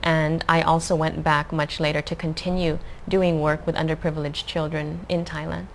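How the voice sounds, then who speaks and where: A young adult woman from the Philippines, a guest answering interview questions in a calm, measured way. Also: low voice, creaky, poor audio. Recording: faint background noise.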